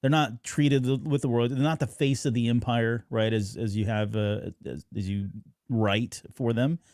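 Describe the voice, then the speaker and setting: A man hosting a podcast, chatting casually in a halting, agreeable, rambling way. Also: mid-range voice, steady tone, darkish tone, no room sound, clean studio sound. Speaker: podcast host.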